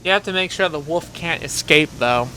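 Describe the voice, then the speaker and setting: A man's voice: a little high, normal speed, bright, forceful tone, a little hiss or hum, low-quality recording. A man hosting a gaming video, giving chatty, exclamatory reactions to a horror game.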